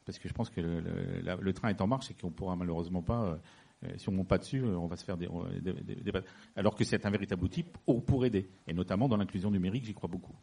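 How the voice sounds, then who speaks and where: A man's voice: deep voice, fast, vocal fry, dark tone, slightly rough. A man speaking on a panel who rambles, restarting his sentences and taking questions. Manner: rambling, many fillers and restarts. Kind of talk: public discussion.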